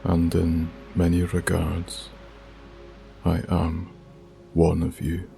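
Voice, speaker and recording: A man with a low, mellow, hushed voice guides a meditation in ASMR style. He speaks extremely slowly and soothingly, leaving long pauses between short phrases. His soft delivery is unhurried and deliberate throughout. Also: monotone, vocal fry. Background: music with binaural beats.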